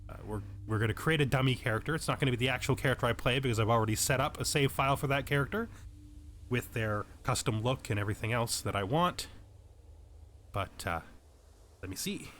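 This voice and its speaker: A man's voice: mid-range voice, expressive, brisk, a bit bright, a little hiss or hum. A man giving explanatory let's play commentary as a tutorial host, methodical and thorough in how he explains things. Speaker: game tutorial host, player.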